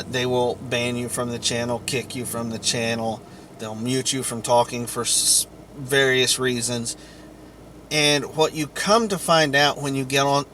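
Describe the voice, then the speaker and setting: A man giving an informal explainer monologue to viewers in a halting, deliberate way, with long pauses. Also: medium pitch, fairly bright tone, slight background noise. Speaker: vlogger.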